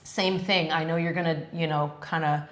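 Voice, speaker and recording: A woman's voice: deep voice, steady tone, moderate pace. A woman whose first language is Spanish, co-hosting a casual travel chat, with frequent laughs and fillers. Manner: friendly, laughs often, many fillers. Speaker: co-host. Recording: echoey room.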